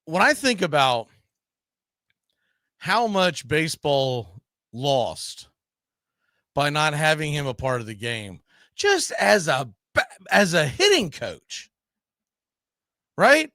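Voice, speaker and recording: A man's voice: on the high side, huge pitch swings, slow pace, long pauses, bright, forceful tone, dry recording, clean studio sound. A man hosting a live baseball history show, chatting casually and often repeating and rephrasing as he goes. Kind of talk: casual sports chat with co-host.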